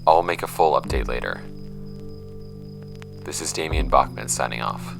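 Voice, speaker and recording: A man's voice: rumbling low, animated, moderate pace, gravelly, music in the background, exaggerated voice. An actor playing a sarcastic young male investigator questioning a shady healer in an audio drama. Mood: shaken. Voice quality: sarcastic, incredulous. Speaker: interviewer.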